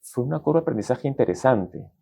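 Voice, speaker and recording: Relaxed Peruvian man with a mellow voice. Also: mid-range voice, fast talker, creaky, slightly rough. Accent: Peruvian Spanish. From Peru. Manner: relaxed, colloquial, acts out quoted dialogue. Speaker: guest executive. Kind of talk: casual interview storytelling.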